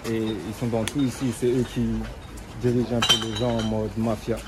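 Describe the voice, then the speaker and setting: Young male rapper, mid-pitched voice, laid-back street slang, loud traffic noise on an outdoor city street. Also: normal speed. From Germany. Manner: relaxed slangy talk with frequent tags. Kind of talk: casual street interview.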